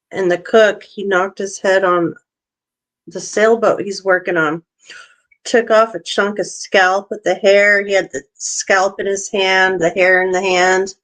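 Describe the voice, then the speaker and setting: Slow-talking woman rambling casually. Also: medium pitch. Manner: rambling, filler-heavy, squeamish. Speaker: co-host. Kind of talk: casual vlog chat reading news aloud.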